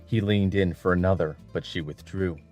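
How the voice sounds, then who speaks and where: A man's voice: sits low, fairly even intonation, moderate pace, darkish tone, faint music behind. A man narrating an audiobook story in a scripted, dramatic style.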